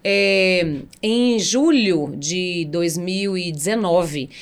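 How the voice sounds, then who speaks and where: A woman's voice: lowish voice, drawn-out words, clear, resonant tone. A woman in her forties with a Bahian Brazilian accent, telling a personal story conversationally and acting out the dialogue as she goes. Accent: Bahian Brazilian Portuguese. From Salvador, Bahia, Brazil. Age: 40s. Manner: animated, acts out quoted dialogue. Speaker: podcast guest, singer and event promoter.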